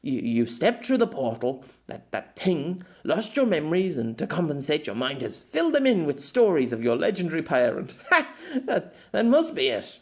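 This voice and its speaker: A man's voice: a little high, huge pitch swings, normal speed, darkish tone, pitched above the performer's own voice. A man playing a middle-aged exile in an audio story, bitter, hot-tempered and desperate, stammering and swearing in dramatic outbursts.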